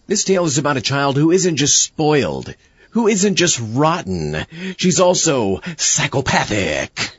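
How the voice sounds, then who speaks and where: Guy hosting a scripted show, really animated delivery, dry slightly dull sound. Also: on the high side, a little slow, slightly rough, dry recording. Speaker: show host.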